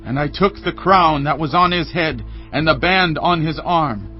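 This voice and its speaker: An actor playing a young foreign messenger in a dramatized audio Bible, recounting a death earnestly and dramatically. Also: male voice, fairly high pitch, unhurried, muffled recording, faint music behind, close to an everyday voice. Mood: urgent, earnest. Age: young adult. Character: young Amalekite messenger reporting a king's death.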